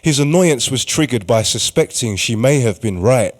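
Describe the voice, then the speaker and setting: Male narrator, medium pitch, scripted dramatic storytelling for a radio play. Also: expressive, normal speed. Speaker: narrator and writer-performer.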